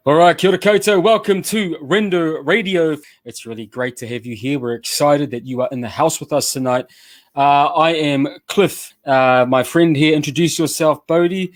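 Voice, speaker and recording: A man's voice: fairly high pitch, normal speed, dry recording, studio quality. A man with a New Zealand accent hosting a casual radio chat show, upbeat and loose with slang, often restarting his sentences. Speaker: show host.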